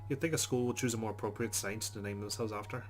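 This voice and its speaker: A man's voice: lowish voice, fairly even intonation, fast, over music. A man hosting a game playthrough, reading the story text aloud with casual asides. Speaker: let's play host.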